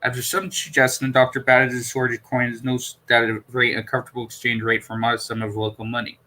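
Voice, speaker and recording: A man's voice: medium pitch, monotone, fast talker, pushed and bright. A man reading a science fiction novel aloud as an amateur narrator, stumbling over words and repeating phrases. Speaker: amateur audiobook reader.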